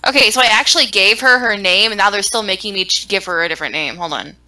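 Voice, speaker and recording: A woman's voice: mid-range voice, fast, bright, forceful tone, poor audio. A young woman chatting casually and bluntly, with swearing, on a gaming stream. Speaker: guest co-host on a gaming stream.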